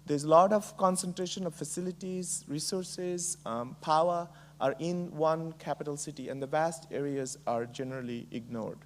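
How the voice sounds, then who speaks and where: A middle-aged man from Bangladesh with a Bangladeshi accent, giving a conference keynote speech in a measured way with frequent ums and uhs. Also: a little high. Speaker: keynote speaker.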